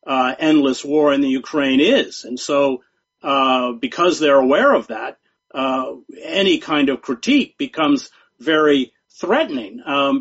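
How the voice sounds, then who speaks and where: A man speaking as a guest journalist in an interview, talking in a measured, emphatic way and often repeating words. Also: medium pitch, fairly bright tone, slightly rough. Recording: dull lo-fi sound.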